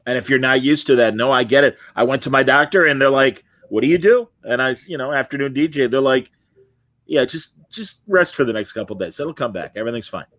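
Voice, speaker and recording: A man's voice: medium pitch, quick pace, fairly bright tone, no room sound. A middle-aged man hosting a casual interview podcast, chatting loosely and reading out viewer comments. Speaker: podcast host.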